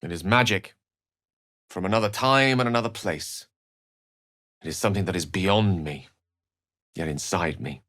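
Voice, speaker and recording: An actor playing an eloquent, courteous and wry wizard in a fantasy video game, with a British accent and articulate, theatrical phrasing. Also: male voice, low-pitched, moderate pace, pauses often, fairly bright tone, no echo, clean studio sound. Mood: earnest, troubled. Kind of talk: dramatic fantasy game dialogue.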